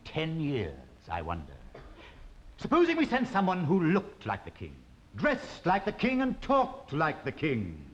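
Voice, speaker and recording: A bossy, scheming nobleman in a period comedy. He speaks in a high, lively, theatrical voice with a commanding air, on a dull, slightly noisy recording. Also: unhurried. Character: scheming, exasperated, bossy lord training a double. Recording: dull recording with some noise.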